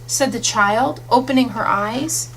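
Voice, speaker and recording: High-voiced woman narrating an audiobook. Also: unhurried, a bit bright. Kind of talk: scripted literary narration. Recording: faintly noisy audiobook recording.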